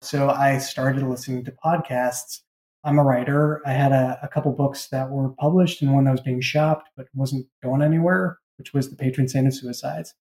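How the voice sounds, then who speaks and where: A man with a mellow, dark-toned voice of middling pitch talks casually as a writer being interviewed. He tells things conversationally, and the sound is roomy and echoey. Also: fairly even intonation, normal speed. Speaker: writer and audio drama creator.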